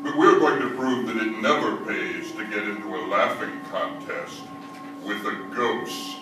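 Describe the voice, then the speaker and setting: An actor playing a sardonic, ghoulish host of an old-time radio horror show, speaking theatrically with dark joviality. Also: male voice, lowish voice, lightly acted. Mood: gleefully macabre. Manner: slow, theatrical, darkly jovial. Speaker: host of a horror anthology play. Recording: roomy distant stage recording, clear music behind.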